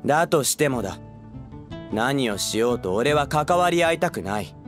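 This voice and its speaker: Male actor as a scornful young commoner, mid-pitched, expressive and curt, anime game, light music behind. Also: moderate pace, natural voice. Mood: hostile, irritated. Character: irritable, hostile young male townsman.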